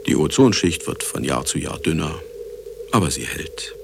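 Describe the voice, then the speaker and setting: Radio drama casting: jaded older male scientist, deep and creaky, wry, dismissive, reflective, music underneath. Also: expressive, moderate pace, noisy background, exaggerated voice. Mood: wry, resigned. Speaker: actor and first-person narrator in a radio play. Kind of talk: radio play acting, narrating to the listener. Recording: studio radio play with music behind.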